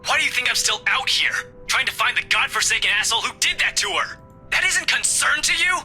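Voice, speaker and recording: Visual novel role, male actor: strained young detective, very bright tense delivery, curt, indignant, defensive. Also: very high voice, expressive, fairly quick, breathy edge, soft background music, full character voice, low-quality recording. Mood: furious.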